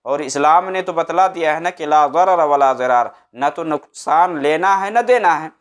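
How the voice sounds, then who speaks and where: A man's voice: a little high, normal speed. A man giving religious advice as a preacher, didactic and emphatic, repeating his points in short phrases. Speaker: Islamic preacher answering a religious question.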